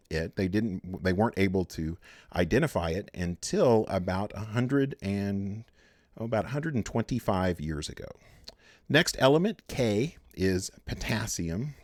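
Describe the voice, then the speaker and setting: A man teaching a chemistry lecture in a relaxed way, with many fillers and restarts. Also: lowish voice, dry recording, clean studio sound. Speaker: chemistry instructor. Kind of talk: recorded lecture.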